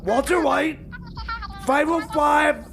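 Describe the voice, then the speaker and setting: A man playing a high-voiced, stammering, flustered guy. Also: vocal fry, exaggerated voice. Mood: flustered, agitated. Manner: stammering, repetitive, halting. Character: flustered, pleading man juggling a difficult business phone call. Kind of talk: improvised comedy radio sketch.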